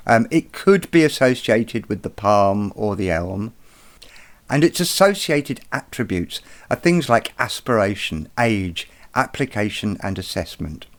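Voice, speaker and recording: A man presenting an explanatory lesson, speaking in a slow, measured way and taking care over each pronunciation. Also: lowish voice, expressive. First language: not Irish. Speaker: presenter of an educational video.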